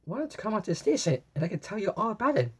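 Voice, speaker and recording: A helpful, folksy fireman in a children's storybook, read by a woman. The delivery is patient and welcoming, with a slightly husky voice, explaining things simply. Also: medium pitch, sing-song, rapid-fire, darkish tone, pitched above the performer's own voice.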